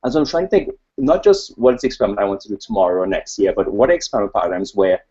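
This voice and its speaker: Husky, low-pitched Trinidadian man presenting. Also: expressive, racing, warm tone. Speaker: academic researcher presenting at a conference. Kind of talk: informal conference presentation with slides. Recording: dull, lo-fi webcast audio.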